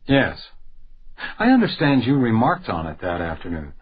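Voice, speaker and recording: An actor playing a polite, probing hotel house detective in a radio drama, questioning in a measured, courteous way. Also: male voice, fairly low pitch, very animated, phone-quality sound, slight background noise.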